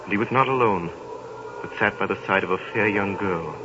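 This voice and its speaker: Low-voiced male radio-play narrator. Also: muffled recording. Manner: measured storytelling. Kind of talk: 1930s radio drama narration. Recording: distant, noisy 1930s radio recording.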